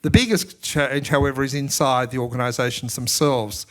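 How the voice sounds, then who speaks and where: A man's voice: mid-range voice, fairly even intonation, moderate pace, fairly bright tone. A man moderating a public panel, talking conversationally with some stumbling restarts. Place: public forum hall.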